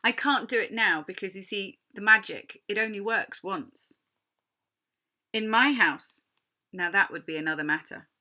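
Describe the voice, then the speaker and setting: A woman voicing a coaxing, imperious witch queen who feigns kindness in drawn-out, sugary phrases for a storybook. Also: mid-range voice, sharp tone. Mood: enticing.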